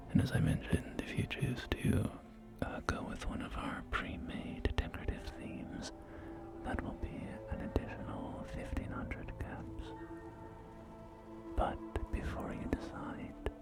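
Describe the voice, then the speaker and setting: A man voicing a polite wasteland real estate agent in a whispered ASMR roleplay, with formal, softly persuasive sales patter. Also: medium pitch, big pitch swings, takes it slowly, creaky, music in the background, full character voice. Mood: smooth selling.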